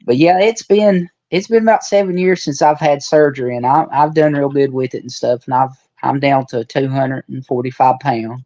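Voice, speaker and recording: A man's voice: medium pitch, steady tone, normal speed, few pauses, dark tone, dry recording, dull audio. A man in his forties chatting casually and telling stories in a folksy, colloquial way as a retired wrestler on a podcast. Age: 40s. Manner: folksy storyteller with colloquial rural phrasing. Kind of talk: casual reminiscing chat.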